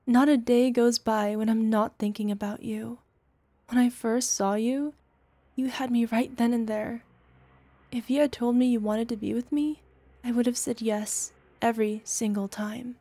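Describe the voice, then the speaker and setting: A woman acting in an ASMR roleplay as a devoted, playful older girlfriend, with a soft, tender, teasing manner. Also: a little high, fairly even intonation, unhurried, dry recording. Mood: earnest, loving. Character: devoted, playful, smitten older girlfriend soothing her lover.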